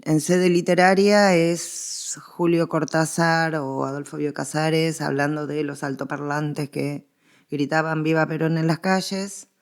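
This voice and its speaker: A woman's voice: low-pitched, darkish tone. A woman with a Buenos Aires Argentine accent, speaking as an academic guest in unscripted conversation, often stopping to rephrase as she builds her argument. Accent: Argentine Spanish, Buenos Aires. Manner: halting, self-correcting as she builds arguments. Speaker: interview guest, literature professor and researcher.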